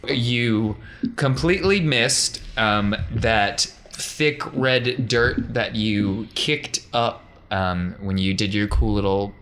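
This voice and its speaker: A man's voice: fairly low pitch, takes it slowly, fairly bright tone, slight background noise. A man narrating a tabletop game as game master in a casual, improvised way.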